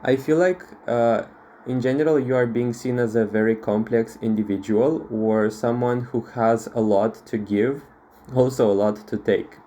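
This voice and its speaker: A man's voice: mid-range voice, fairly even intonation, moderate pace, warm tone, a little hiss or hum. A man from Moldova with a Russian accent, a tarot reader talking casually to camera, with many fillers and restarts.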